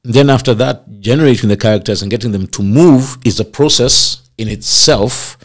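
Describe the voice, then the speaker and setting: A man's voice: mid-range voice, normal speed. A middle-aged man, an actor, talking expansively in a casual interview and acting out the voices of people he quotes. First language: not Swahili.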